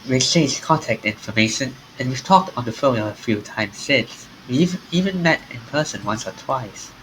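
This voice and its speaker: A man streaming a game, reading its story text aloud in an animated way. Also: mid-range voice, normal speed, a little hiss or hum, low-quality recording. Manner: animated, acts out the lines.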